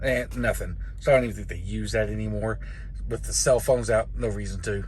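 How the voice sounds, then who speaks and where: A man's voice: fairly low pitch, fairly even intonation, fairly quick, darkish tone. A middle-aged American man, a truck driver, giving a casual hands-on tutorial in a plain, folksy way. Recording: clearly noisy vehicle cab.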